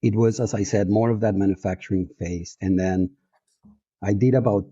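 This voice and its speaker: A man's voice: on the low side, steady tone, moderate pace, mellow, husky, no echo. A man in his forties from Colombia with a Colombian accent, a podcast guest talking in a reflective way with restarts and easy laughs. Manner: reflective, frequent restarts and fillers, laughs easily. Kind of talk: casual interview chat. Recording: dull, muffled audio.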